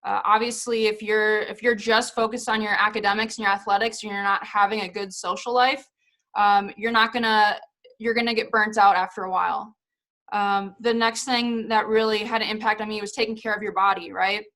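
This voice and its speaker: Bright-voiced woman speaking on a video call. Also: mid-range voice, flat delivery, a little fast. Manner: chatty, many fillers and hedges. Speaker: guest speaker, former pro soccer player. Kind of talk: casual talk on a video call.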